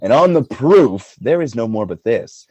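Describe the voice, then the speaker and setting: An actor playing a noble general sliding into anguished jealousy, declaiming Shakespearean verse for a radio play in a husky voice. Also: male voice, medium pitch, sing-song, normal speed, mellow, no room sound. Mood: proud, defiant. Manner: theatrical, declamatory verse delivery.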